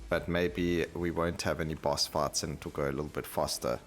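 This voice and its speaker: A man's voice: very deep voice, level tone, moderate pace. A man hosting a gaming video, giving casual, conversational commentary and thinking aloud as he plays. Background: game music.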